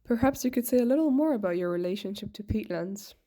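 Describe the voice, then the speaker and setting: A woman hosting a podcast, reading scripted interview questions plainly and clearly. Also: mid-range voice, animated, fast, mellow.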